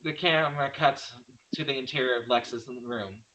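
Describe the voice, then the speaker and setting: A man's voice: mid-range voice, normal speed, bright, forceful tone, dull audio. A man narrating stage directions from a script at a virtual table read.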